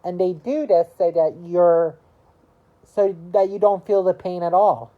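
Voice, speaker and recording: A woman in her early twenties chatting casually to camera about her year, rambling and halting, with lots of "you know" fillers. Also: on the low side, phone-quality sound. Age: early 20s. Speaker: vlogger.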